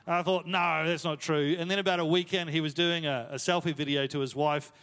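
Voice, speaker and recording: A man's voice: on the high side, fairly even intonation, fairly quick, a bit bright, smooth. A man preaching a sermon, telling stories in a casual, self-deprecating way with many fillers. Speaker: pastor. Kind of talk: sermon storytelling. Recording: long hall reverb.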